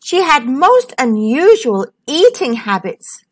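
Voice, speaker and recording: A woman reading a picture book aloud to children in a sing-song voice, with dramatic pauses. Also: high-pitched, big pitch swings. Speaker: children's storyteller.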